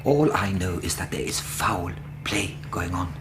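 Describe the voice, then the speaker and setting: An actor playing a polite, troubled male interpreter in a radio drama, speaking in a formal, measured, earnest way. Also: low voice, animated. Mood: grave, troubled. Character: solemn, troubled male interpreter telling his story, polite. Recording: noisy radio drama background.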